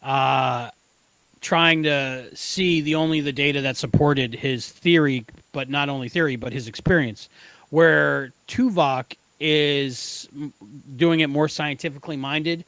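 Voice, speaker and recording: A man co-hosting a casual review podcast, speaking haltingly as he searches for words and stumbles over the starts of his phrases. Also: a little high, fairly even intonation, a bit bright, no room sound, poor audio. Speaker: podcast co-host.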